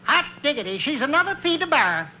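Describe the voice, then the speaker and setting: An actor playing a corny, chuckling farmer with a rural American accent in a 1950s radio comedy. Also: male voice, very high-pitched, very animated, fairly quick. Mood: folksy glee. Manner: folksy, cackling at own jokes. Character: chuckling rustic old-fashioned farmer, corny and folksy. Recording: faintly noisy 1950s radio recording.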